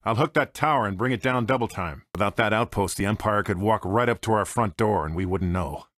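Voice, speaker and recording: An actor playing a cocky, grumbling smuggler pilot in a video game, with an American accent and short sardonic quips. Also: male voice, on the low side, brisk, a bit bright, no room sound, clean studio sound. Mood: resigned, determined. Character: cocky, wry male smuggler pilot, grumbling reluctant hero. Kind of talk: video game character voice lines.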